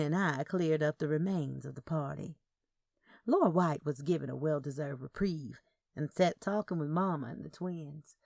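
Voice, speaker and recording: Gravelly, lower-voiced woman narrating a story. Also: a little mellow, no echo, studio quality. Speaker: audio fiction narrator. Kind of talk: scripted first-person story narration.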